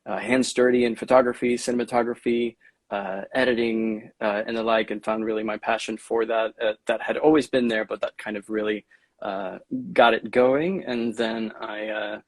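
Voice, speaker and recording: A young man from Venezuela, a guest artist telling his story in a relaxed, measured way with many fillers in a casual livestream chat. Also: lowish voice, no room sound.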